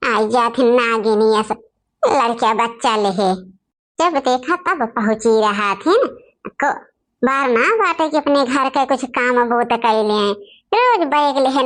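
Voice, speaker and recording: A woman as a high-pitched, drawling village sister-in-law. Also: a bit bright. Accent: Awadhi. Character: bickering village women, sisters-in-law in a rural household. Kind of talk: Awadhi family comedy cartoon dialogue.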